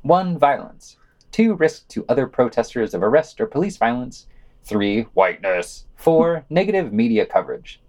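A man's voice: mid-range voice, big pitch swings, normal speed, darkish tone. A man reading scripted podcast news, adding short asides as he goes.

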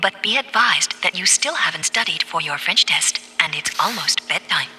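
A woman's voice: lowish voice, expressive, normal speed, sharp tone, faint music behind. A woman voicing a prim, patient cartoon computer assistant, polite and precise. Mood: polite, matter-of-fact.

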